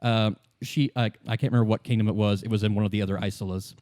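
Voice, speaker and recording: Mellow, low-voiced man narrating game lore. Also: fairly even intonation, quick pace, no echo, studio quality. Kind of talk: improvised lore exposition in a tabletop game.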